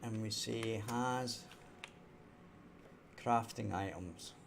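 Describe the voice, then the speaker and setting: A young man with a toned-down Scottish accent talks himself through his work on a live game development stream. He speaks slowly and in a low-key way, his voice sitting a little low. A faint hum runs behind him. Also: vocal fry. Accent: softened Scottish. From Scotland. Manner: thinking aloud while working, low-key. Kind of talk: live coding stream talk.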